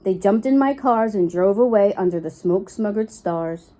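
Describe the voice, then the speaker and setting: A woman's voice: medium pitch, lively intonation, moderate pace, mellow. A woman reading a rhyming storybook aloud expressively for young children. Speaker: storybook reader for preschool children.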